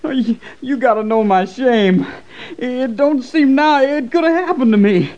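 Young deserter, very high voice, stammering, pleading, ashamed, radio drama, muffled vintage recording. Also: male voice, a little slow, a little mellow. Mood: ashamed, anguished. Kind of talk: 1940s-50s radio western acting.

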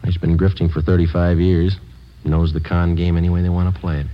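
Deep-voiced, deadpan police sergeant played by a man. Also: a little fast, lightly acted. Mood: dry, clipped. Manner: clipped, matter-of-fact, deadpan. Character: terse, dry police detective sergeant, methodical and world-weary. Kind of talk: 1940s-50s radio crime drama acting and narration. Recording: dull old radio recording.

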